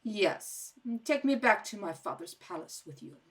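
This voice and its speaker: Woman playing a bright-voiced, dramatic young princess. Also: mid-range voice, lively intonation, a little slow. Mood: cool. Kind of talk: old radio serial acting.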